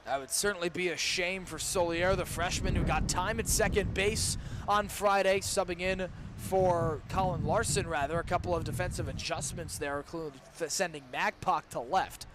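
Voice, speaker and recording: A young man doing live play-by-play baseball commentary for radio, describing each pitch in detail and surging with excitement on big plays. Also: moderate pace. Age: young adult. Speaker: student baseball play-by-play announcer. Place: outdoor baseball stadium broadcast. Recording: noisy outdoor ballpark ambience.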